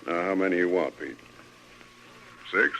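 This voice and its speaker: An actor playing a patient, obliging American general store keeper with a folksy, coaxing manner in a radio western. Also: male voice, low voice, unhurried, pushed and bright, full character voice. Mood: patient, helpful. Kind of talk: old-time radio western acting. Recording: dull, faintly noisy vintage radio.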